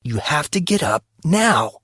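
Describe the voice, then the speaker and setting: A man voicing a teenage boy's inner voice, commanding, deliberate and accusing, in an audio drama. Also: a little high, sing-song, fairly bright tone, breathy edge, no room sound, studio quality, voice pushed up, heavily acted.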